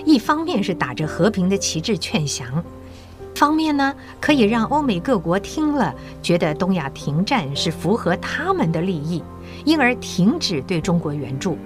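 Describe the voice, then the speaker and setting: An actress playing a mature, patriotic school principal in an audio drama, encouraging and persuasive with measured authority. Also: female voice, medium pitch, sing-song, music in the background, natural voice. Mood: earnest indignation.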